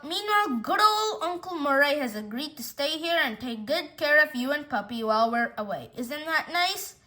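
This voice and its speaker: A woman's voice: high-pitched, expressive, normal speed, bright, forceful tone. A woman voicing a chipper, sweetly reassuring pet owner who coos in a sing-song way, in a storybook reading. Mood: cheerful, coaxing.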